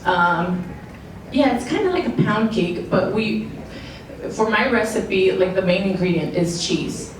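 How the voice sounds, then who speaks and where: A woman's voice: mid-range voice, expressive, measured pace. A young adult woman, a baker on a panel, chatting casually and using lots of "like". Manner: casual, many fillers and "like". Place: museum event space. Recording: very echoey room from far off, noisy.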